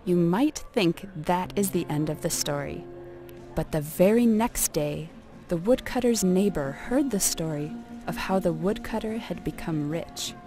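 A woman narrating a folk tale as a storyteller, in a measured and expressive storybook delivery. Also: on the low side, soft background music.

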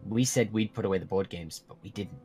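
Casting: male actor as earnest young male werewolf, deepish voice, halting dramatic delivery, game roleplay with music underneath. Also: dark tone, natural voice. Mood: grave, suspicious. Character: protective, earnest teenage male werewolf friend. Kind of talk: acted dialogue in a Minecraft roleplay drama. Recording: music behind the voice.

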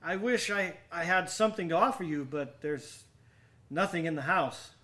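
A man's voice: on the high side, normal speed, sharp tone. An actor in a radio drama playing a genial, obliging man, eager and polite as he questions his friend. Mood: curious, friendly. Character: genial, obliging male friend of an old sailor.

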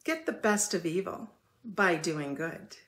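A woman reading scripture aloud in a measured way as the reader at a worship service. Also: lowish voice, animated, a bit bright. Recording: roomy, echoing church sound.

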